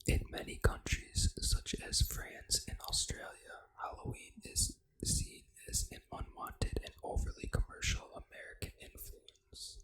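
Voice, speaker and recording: An ASMR host reading aloud in a hushed, fully unvoiced whisper, with deliberate phrasing. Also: very high-pitched, lively intonation, poor audio. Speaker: ASMR gaming channel host.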